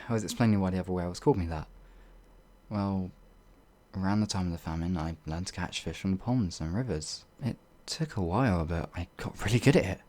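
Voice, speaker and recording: A man voicing a shy, gentle werewolf in an ASMR audio roleplay, hesitant and halting, with a breathy, gravelly voice that often drops toward a whisper. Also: low voice. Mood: eager, earnest. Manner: hesitant, timid, halting, with sighs and trailing phrases. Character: shy, gentle, bumbling pacifist male werewolf, older than he looks.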